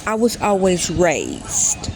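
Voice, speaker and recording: Slow-talking woman in her 30s, rambly vlog story, keeps backing up and fixing what she said. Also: on the low side, huge pitch swings, noisy background. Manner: rambling, often restarts and corrects herself. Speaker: vlogger. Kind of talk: casual personal storytelling.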